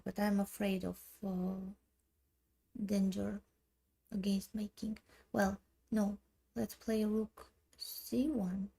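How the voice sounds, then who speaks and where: A woman in her thirties from Armenia, who speaks Armenian natively, thinking aloud in a slow, halting way while she plays online chess. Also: medium pitch, warm tone. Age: 30s. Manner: slow, halting, muttering moves half to herself. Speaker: chess streamer.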